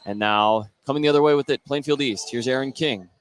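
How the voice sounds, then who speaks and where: Guy doing basketball play by play on radio, medium pitch, some gym noise behind him. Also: brisk. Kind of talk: live sports radio commentary.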